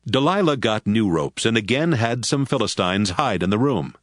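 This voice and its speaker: A man's voice: fairly low pitch, animated, moderate pace, no room sound, clean studio sound. A man giving scripted narration for a Bible audio drama.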